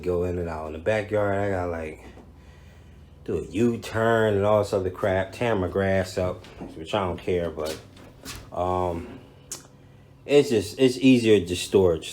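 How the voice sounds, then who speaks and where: A man's voice: deep voice, darkish tone, a little hiss or hum. An American man talking casually to viewers as a vlogger, slangy and informal, showing them around as he goes. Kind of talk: casual unboxing and walkthrough talk to camera.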